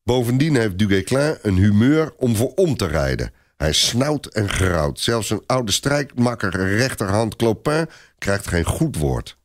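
A man narrating a dramatic historical story for a radio play in an expressive storytelling manner. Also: lowish voice, unhurried.

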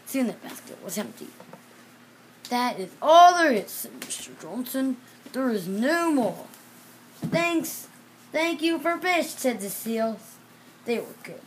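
A child reading a children's storybook aloud in a halting way, repeating and correcting words. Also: mid-range voice, sing-song, slight background noise, poor audio. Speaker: reader.

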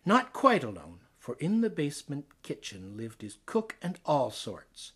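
A Canadian man performs poetry with theatrical flair. His voice sits a little high for a man and moves through a lively range of pitch. Each phrase is placed deliberately, as an actor would in a dramatic reading. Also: breathy edge. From Ontario, Canada.

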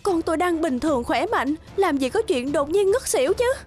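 Brusque high-voiced cartoon mother. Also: expressive, normal speed, a little mellow, faint music behind. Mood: angry, accusing. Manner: curt, accusatory. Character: brusque, busy young mother, quick to blame. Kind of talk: animated drama dubbing.

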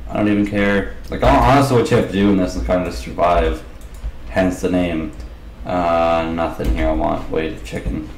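A man with a slightly raspy voice giving casual commentary over his gameplay, mumbling asides as he plays. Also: low voice, steady tone, normal speed, roomy sound, slight background noise. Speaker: gaming channel host.

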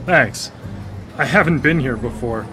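A man's voice: mid-range voice, unhurried, music in the background, natural voice. A man in a live radio drama playing a recently blind former engineer, hesitant and stammering with a wry, self-deprecating humor. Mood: awkward, wry. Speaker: lead character in a radio drama. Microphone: studio microphone.

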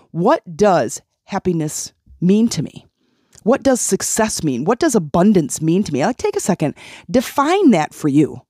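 A woman's voice: lowish voice, warm tone, no echo, clean studio sound. A woman hosting a healing podcast, talking earnestly and encouragingly, often repeating phrases to press a point. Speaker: podcast host and life coach. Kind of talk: motivational solo podcast talk.

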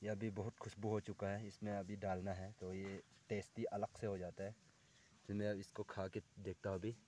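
A young man with a fairly low voice talks viewers through his outdoor cooking in an easy, unhurried way. He speaks into a phone camera held close. Faint outdoor noise sits under his voice. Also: flat delivery, mellow. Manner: plain, unhurried explaining, addresses viewers directly. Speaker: cooking vlogger. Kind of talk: casual explaining to camera. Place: outdoors on a forested hillside.

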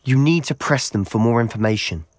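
An actor playing a blunt young wizard student who pushes a friend for answers. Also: male voice, mid-range voice, expressive, a little fast, no room sound, natural voice. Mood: impatient.

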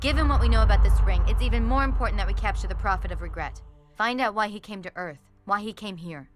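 A woman's voice: mid-range voice, quick pace, faint music behind, close to an everyday voice. An actress playing a resolute female navy commander who gives firm, clipped orders. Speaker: ship commander.

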